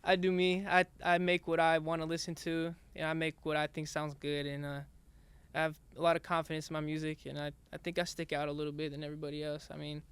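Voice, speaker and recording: A young man, a rap artist being interviewed, talking in a soft, halting way with many restarts. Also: fairly high pitch, completely flat delivery, no echo. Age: young adult. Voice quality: raspy singing voice. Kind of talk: casual interview chat.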